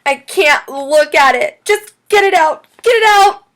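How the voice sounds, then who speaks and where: Woman putting on a shrill, squeamish little schoolgirl. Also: animated, normal speed, a bit bright. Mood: panicked. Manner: shrill, exclaiming. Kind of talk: children's audiobook character.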